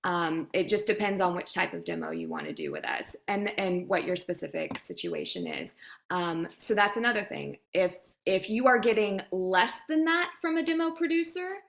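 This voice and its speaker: Creaky-voiced woman giving casual advice. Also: mid-range voice, a bit bright. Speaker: voice demo producer and director, guest. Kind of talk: casual advice in an interview chat.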